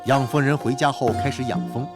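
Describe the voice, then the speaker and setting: A man narrating an animated folk tale in an expressive, scripted storytelling style. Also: medium pitch, fairly quick. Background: music.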